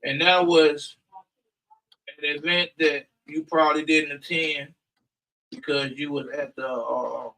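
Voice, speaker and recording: A man co-hosting a casual community talk show, talking loudly and emphatically in long rambling runs. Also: fairly high pitch, flat delivery, measured pace, pauses often, far from the mic, low-quality recording. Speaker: co-host.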